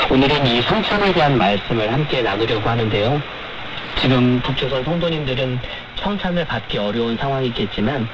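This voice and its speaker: Korean-style radio sermon from a male pastor, earnest n formal, explains stuff, average pitch, lots of shortwave noise. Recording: noisy shortwave radio broadcast.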